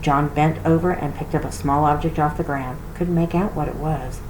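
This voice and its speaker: A woman reading a storybook aloud as narrator for a library, with expressive delivery. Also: deep voice, normal speed. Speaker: reader for a library read-aloud. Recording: roomy echo with clear noise.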